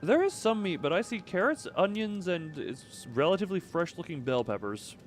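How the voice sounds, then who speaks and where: A man's voice: high voice, sing-song, moderate pace, soft background music. A man giving casual live game commentary, thinking aloud with playful, quirky turns of phrase. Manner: playful, quirky word choices. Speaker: video game streamer.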